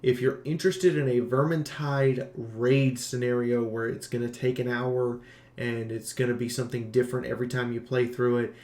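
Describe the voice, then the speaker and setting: A man's voice: medium pitch, little pitch movement, normal speed, a little mellow. Echoey male game reviewer, informal and emphatic. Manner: informal, emphatic, occasional swearing. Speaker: video game reviewer, channel host. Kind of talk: unscripted game review commentary. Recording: roomy, echoing room sound.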